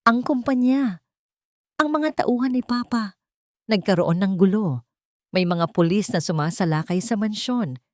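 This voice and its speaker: A woman's voice: mid-range voice, animated, a little slow, long pauses, darkish tone, no room sound, clean studio sound, voice pushed up, close to an everyday voice. Woman voicing a panicked, once-haughty woman. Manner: urgent, panicked. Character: frightened, once-haughty woman begging for help.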